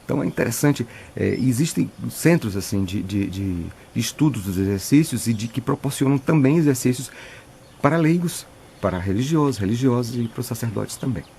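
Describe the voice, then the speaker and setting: A middle-aged priest from Piauí, answering interview questions thoughtfully with frequent restarts. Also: male voice, medium pitch, expressive, a little fast, gravelly, dark tone, slight background noise. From Piauí, Brazil. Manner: thoughtful, many restarts and filler "né". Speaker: retreat preacher, priest.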